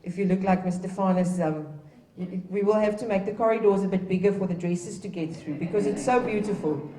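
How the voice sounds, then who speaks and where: A woman's voice: medium pitch, a little fast, a crowd in the background. Woman giving an echoey speech. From Namibia. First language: Afrikaans. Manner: earnest, exhorting, with restarts. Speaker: opening speaker at a heritage event. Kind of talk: public speech. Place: indoor event at a museum. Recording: echoey room, distant mic.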